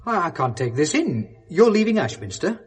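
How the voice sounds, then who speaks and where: A man's voice: sits high, big pitch swings, moderate pace, breathy edge, soft background music, full character voice. A man in his thirties with a British accent acting a diffident, guarded, educated man down on his luck in a 1970s radio drama. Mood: taken aback. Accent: British English. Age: 30s. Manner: hesitant, guarded.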